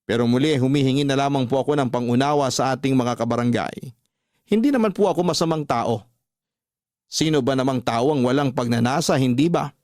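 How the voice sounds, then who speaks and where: A man's voice: mid-range voice, brisk, a little mellow, no room sound, studio quality. A man with a Filipino accent hosting a radio drama, reading a scripted first-person letter and commentary as storyteller. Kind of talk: scripted narration of listener letters.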